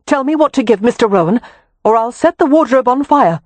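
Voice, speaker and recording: A narrator voicing a calm, resolute young woman who delivers threats with composure. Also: mid-range voice, fairly quick, dry recording, close to an everyday voice. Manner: cold composure, firm threats.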